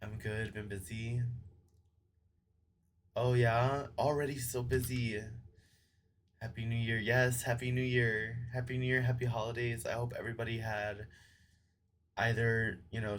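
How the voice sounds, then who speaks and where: A man's voice: medium pitch, flat delivery, measured pace, pauses often, a little hiss or hum. A young man streaming a game and chatting with viewers, his voice weak from a recent illness and a lingering cough. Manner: chatty, friendly, greets viewers by name. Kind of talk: casual chat with live chat.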